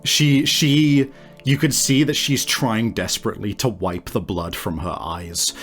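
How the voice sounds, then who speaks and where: A man improvising tabletop game narration as game master, describing things vividly and dramatically in a halting way. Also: on the low side, steady tone, fairly bright tone, soft background music.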